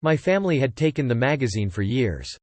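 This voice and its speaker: A man's voice: medium pitch, sing-song, fairly quick, darkish tone, dry recording. A man teaching English, carefully reading definitions and example sentences aloud. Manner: clear, careful model reading.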